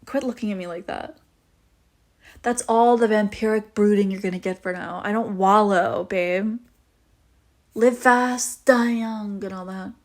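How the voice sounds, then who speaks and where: A woman's voice: mid-range voice, a little slow, natural voice. Wry, teasing young vampire woman, acted by a woman. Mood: brisk, wry. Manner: casual, wry, teasing, swearing freely. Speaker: audio roleplay voice actor. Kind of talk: intimate scripted audio roleplay, conversational.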